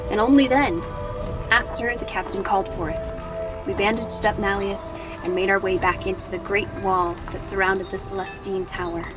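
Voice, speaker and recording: A woman's voice: mid-range voice, natural voice. A woman playing an earnest young army sergeant, giving dramatic first-person narration of a battle in an audio drama. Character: earnest young human female artillery sergeant recalling battle.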